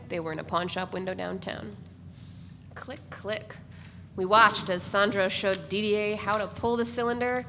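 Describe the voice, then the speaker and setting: A woman's voice: medium pitch, a bit bright. A woman reading scripted first-person literary narration aloud at a live event. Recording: reverberant hall, noisy live recording.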